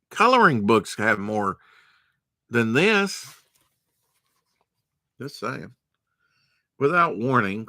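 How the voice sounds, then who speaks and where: A man's voice: medium pitch, pushed and bright, no room sound, studio quality. A man hosting a late-night storytime, reading a storybook aloud and breaking off into rambling, sarcastic asides. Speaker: storytime host.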